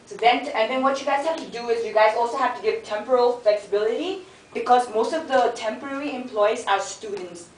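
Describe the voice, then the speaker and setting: A woman's voice: a little high, fairly quick, slight background noise. A young woman gives a casual, direct class presentation and talks straight to the audience. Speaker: student presenter. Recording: echoey classroom, mic far off.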